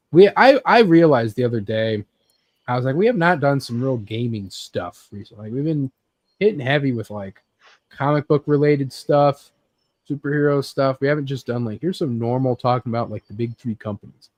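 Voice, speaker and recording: Man, mid-pitched, host, casual sweary chat, rambling, playful reenactments, dry sound. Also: moderate pace, darkish tone, no echo. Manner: rambling, acts out imagined scenes with sound effects. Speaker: host leading a group chat about games and films.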